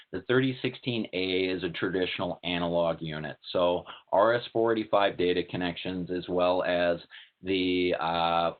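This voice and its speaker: A man giving a technical product presentation in a plain, methodical, explanatory way, as a webinar presenter. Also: deep voice. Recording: tinny narrowband call-like audio.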